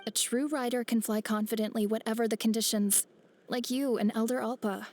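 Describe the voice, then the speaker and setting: A woman's voice: a little high, fairly even intonation, a little fast, darkish tone, dry recording, natural voice. A woman voicing a discouraged young rider who talks hesitantly and doubts themselves in a video game. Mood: dejected.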